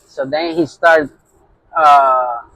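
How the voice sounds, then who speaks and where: A man's voice: on the high side, steady tone, extremely slow, bright, forceful tone, poor audio. A middle-aged man with a Puerto Rican accent, a truck driver telling a heated story in a casual vlog, with constant fillers. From New York. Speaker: owner-operator truck driver.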